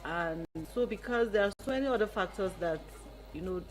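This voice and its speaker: A woman's voice: medium pitch, expressive, brisk, faint music behind, rough recording. A woman with a Nigerian accent giving considered, explanatory answers as a radio guest. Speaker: guest, foundation founder. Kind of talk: interview answers. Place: radio studio.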